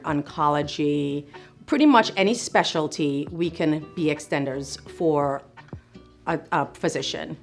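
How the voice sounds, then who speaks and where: Middle-aged physician assistant from Trinidad and Tobago, low-pitched, reflective and encouraging, over faint music. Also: female voice. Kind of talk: speaking to camera about her work.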